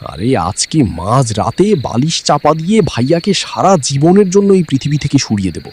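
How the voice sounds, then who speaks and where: A man's voice: medium pitch, sing-song, normal speed, darkish tone, slightly rough. A man playing a greedy, resentful younger stepbrother in a cartoon, bitter and conspiratorial. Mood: scheming. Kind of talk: cartoon villain dialogue.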